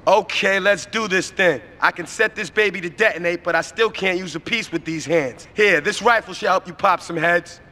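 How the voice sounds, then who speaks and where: An actor playing a slangy, confident explosives expert in a video game cutscene. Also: male voice, sits high, moderate pace, few pauses, sharp tone. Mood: confident, businesslike. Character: street-smart, upbeat male explosives expert.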